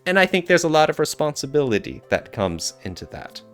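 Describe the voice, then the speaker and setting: A man's voice: on the high side, big pitch swings, a little fast, fairly bright tone, soft background music. A man co-hosting a podcast, talking casually in an earnest, reflective way with some hedging. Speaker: podcast co-host.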